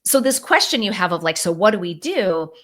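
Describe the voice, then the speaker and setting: A middle-aged woman psychologist, a podcast guest, explaining ideas in an animated, conversational way, full of fillers like "like" and "you know.". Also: medium pitch, a little fast.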